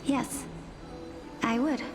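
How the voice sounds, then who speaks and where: A woman playing a gentle young female scholar in a video game, with formal, measured phrasing. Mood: solemn, resigned. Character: gentle, playful young female scholar, solemn about death. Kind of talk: dramatic video game voice acting. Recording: music playing behind the voice. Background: loud background music.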